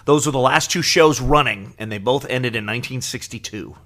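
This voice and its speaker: A man's voice: medium pitch, a little fast, a bit bright. A man co-hosting a podcast, explaining things in casual, agreeable and informative conversation. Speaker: podcast co-host.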